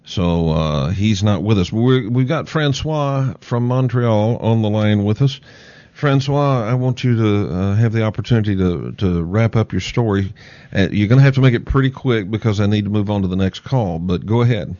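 A man with a lowish voice hosts a late-night call-in radio show. He talks in a halting way, filling his pauses, and the sound is dry and a little dull. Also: mellow, no room sound.

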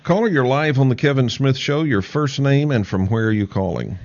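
A man hosting a call-in radio show, talking in a halting way with many pauses and fillers. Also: medium pitch, lively intonation, creaky, darkish tone, no room sound, dull audio. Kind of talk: late-night call-in radio talk.

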